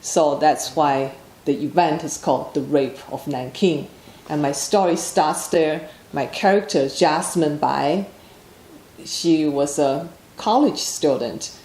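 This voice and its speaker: A woman's voice: sits low, slow pace, darkish tone, echoey room, low-quality recording. A woman from China with a Chinese accent, an author answering interview questions in a plain, matter-of-fact way.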